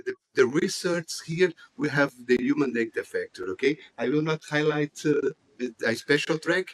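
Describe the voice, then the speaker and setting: A man's voice: medium pitch, no room sound. A jet-lagged man from Chile, chairing a committee and speaking at a conference with many hesitations and fillers. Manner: halting, many fillers, non-native phrasing. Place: conference hall.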